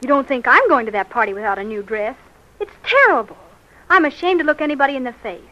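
An actress playing a fretful, nosy and envious young daughter in an old-time radio family comedy, with an emphatic, querulous delivery. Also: female voice, high-pitched, expressive, quick pace, bright, forceful tone. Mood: indignant, envious. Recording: dull lo-fi vintage radio recording.

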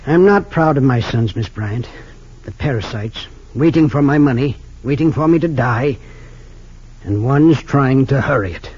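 An actor playing a wealthy, suspicious father of sixty-eight in an old radio drama, earnest and slightly halting. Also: medium pitch, darkish tone, slightly rough. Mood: bitter, suspicious. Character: wealthy, suspicious 68-year-old father, bitter about his sons. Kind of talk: 1940s-50s radio drama acting. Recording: dull lo-fi old radio recording.

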